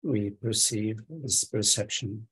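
Low husky guy doing guided meditation, super slow, lots of pauses between short phrases. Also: a bit bright, rough recording. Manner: unhurried, sparse phrases with long pauses. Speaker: meditation teacher.

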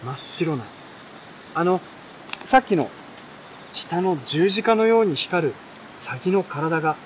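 A man with a fairly high voice reads a story aloud in a relaxed style. He pauses between phrases. Faint night insects and campfire noise sit behind him outdoors. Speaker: reader. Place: campsite outdoors by a fire and stream. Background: insects, crackling fire, stream.

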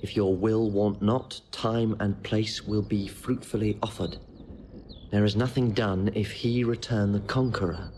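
An actor playing a gentle, loyal young nobleman disguised as a poor man, earnest and measured, in a Shakespearean audio drama. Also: fairly low pitch, fairly even intonation, a little hiss or hum, lightly acted. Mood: intent.

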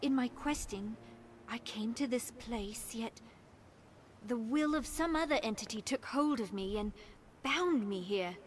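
An actress playing an earnest, sorrowful woman bound by fate in a fantasy game, speaking slowly and formally in archaic English. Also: on the high side, lively intonation, a little hiss or hum. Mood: solemn, searching. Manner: slow, measured, formal.

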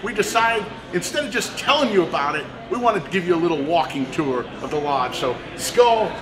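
An upbeat male host guides a walking tour, his voice high for a man. The big room echoes and a crowd murmurs behind him. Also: big pitch swings, a little fast. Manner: upbeat presenter, guiding the camera. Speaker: poker show host. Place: large indoor poker room. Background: crowd chatter.

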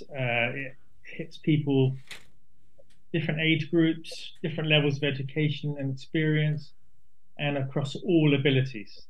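A man's voice: fairly high pitch, lo-fi sound. A man speaking as an interview guest, explaining things in a conversational way.

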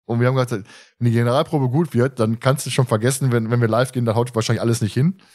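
A man's voice: mid-range voice, steady tone, very fast, a little mellow. A man hosting a podcast in a casual, chatty way, often chuckling as he tells stories. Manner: chatty, often chuckles while telling anecdotes. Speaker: podcast host.

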